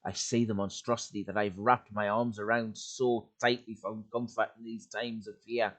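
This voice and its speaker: Man reading horror aloud, hushed then urgent. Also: level tone, normal speed. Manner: swings from loud urgency to hushed, breathy lows. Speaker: YouTube creepypasta reader. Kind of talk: dramatic horror story reading. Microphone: home desk mic.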